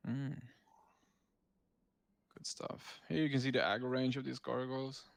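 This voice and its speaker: A man's voice: mid-range voice, level tone, measured pace, gravelly, dry recording. A man giving casual, laid-back gameplay commentary as a raid leader, explaining tactics as he goes.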